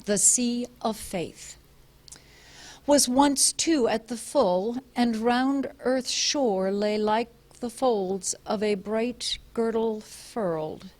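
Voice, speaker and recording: A woman voicing a shaken fireman reading a poem aloud in a slow, solemn recitation. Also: on the high side, darkish tone.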